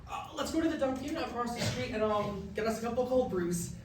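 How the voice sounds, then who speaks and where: A young woman playing an anxious, stressed male college student in a staged musical reading, speaking haltingly. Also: a little high, expressive, music in the background, natural voice. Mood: flustered. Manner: hesitant, halting with ums. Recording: very echoey, noisy room from far off.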